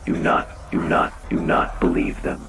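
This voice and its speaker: A man's voice: low-pitched, monotone, moderate pace, gravelly. A man playing a sinister, insistent mimic intruding on an analog horror broadcast, repeating warnings over a noisy, crackling radio transmission. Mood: desperate, menacing. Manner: repetitive, insistent.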